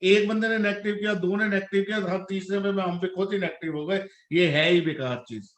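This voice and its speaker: A man's voice: high-pitched, a little fast, a bit bright, echoey room. A man in his forties from India, a parent speaking earnestly and reflectively in a casual talk, mixing English words into his speech. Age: 40s. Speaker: parent guest.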